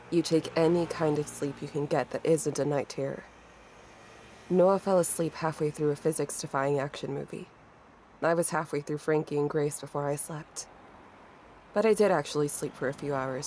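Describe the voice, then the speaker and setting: A woman's voice: low-pitched, a little mellow, a little hiss or hum. An actress playing a grief-stricken, bitter young woman in a horror audio drama, with a weary, sighing, sardonic delivery. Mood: weary, wry. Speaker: voice actor narrating an audio drama. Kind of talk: first-person dramatic narration and dialogue. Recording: music under the voice. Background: music.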